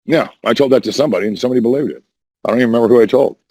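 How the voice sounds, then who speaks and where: Hoarse man rambling on a voice call. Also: mid-range voice, rapid-fire, dark tone, dry recording. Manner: rambling, defensive, repeats and restarts. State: hoarse, says voice is damaged. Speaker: Discord voice chat caller. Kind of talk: rambling casual chat.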